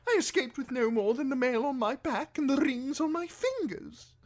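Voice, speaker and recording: A man voicing a pompous older highborn lord, a pampered prisoner with a screechy edge, in a fantasy audiobook. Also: expressive, moderate pace. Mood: haughty, prattling. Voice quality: shrill, screechy when upset. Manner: pompous, theatrical, aristocratic.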